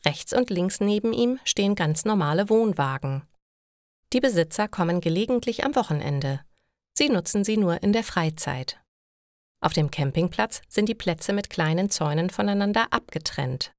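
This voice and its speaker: Woman, story narrator for language learners, medium pitch, deliberate read-aloud delivery, dry recording. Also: expressive, dry recording. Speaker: narrator of German learning stories.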